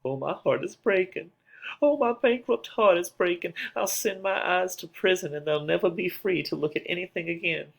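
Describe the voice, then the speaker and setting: A man's voice: sits high, moderate pace, gravelly, voice pushed up, rough recording. A man playing a lovesick young girl in a dramatic reading of a tragedy, declaiming with open emotion. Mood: heartbroken. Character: lovesick young girl, impatient, then anguished and grieving.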